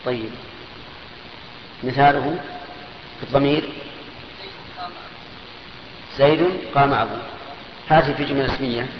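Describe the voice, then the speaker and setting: A man's voice: medium pitch, steady tone. Man teaching grammar in an echoey hall. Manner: slow, methodical, parsing sentences step by step; poses questions and answers them himself. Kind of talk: classical Arabic grammar lesson. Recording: echoey hall, dull lo-fi sound with faint noise.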